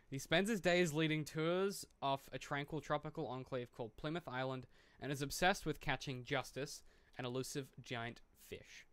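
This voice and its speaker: A man with a fairly high voice, recorded close and dry. He co-hosts a film podcast, chatting casually and rambling with dry humour. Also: normal speed, fairly bright tone, clear, resonant tone, no room sound. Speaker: podcast co-host. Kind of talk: casual film discussion, sometimes reading a plot summary.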